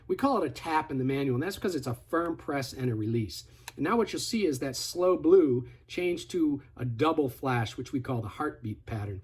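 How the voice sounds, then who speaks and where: A man's voice: medium pitch, slightly rough. A man giving a casual, hands-on product tutorial in a conversational, step-by-step instructive way. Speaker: product demonstrator.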